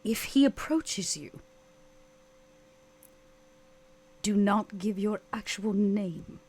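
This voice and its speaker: Woman playing a haughty, husky vampire boss. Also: medium pitch, darkish tone. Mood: curt, guarded. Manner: clipped, withering, deliberate. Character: haughty, exasperated female vampire boss, curt and controlling.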